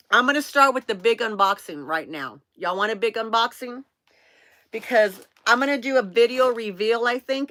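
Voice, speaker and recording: A woman in her late fifties with a high, bright voice, hosting a live stream. She chats casually in a bubbly, scattered way, excitable as she talks to her viewers. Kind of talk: casual chat, greeting viewers by name.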